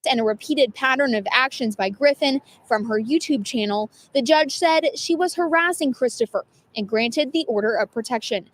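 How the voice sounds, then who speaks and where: A woman's voice: high voice, normal speed, hardly any pauses, low-quality recording. An American woman delivering a scripted television news report as a field reporter.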